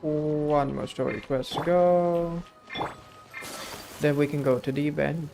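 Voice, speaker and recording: A man's voice: fairly high pitch, fairly even intonation, slow, gravelly, over music. A man live streaming a video game, giving casual, blunt and slangy commentary and sometimes reading game text aloud.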